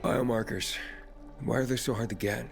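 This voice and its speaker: A man's voice: mid-range voice, steady tone, normal speed, gravelly, close to an everyday voice. A man playing an earnest young newcomer, a pilgrim in a video game cutscene. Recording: loud music over the voice.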